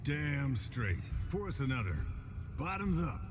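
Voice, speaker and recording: An actor playing an easygoing, level-headed monster hunter in a video game, talking in casual, clipped phrases. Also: male voice, on the high side, sing-song, a little slow. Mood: relaxed. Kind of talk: dramatic video game dialogue. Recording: game music behind the voice.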